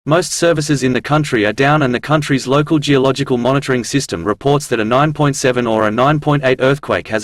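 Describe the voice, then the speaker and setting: Male news reporter, medium pitch, formal measured scripted delivery, very dry studio-style sound. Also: no echo.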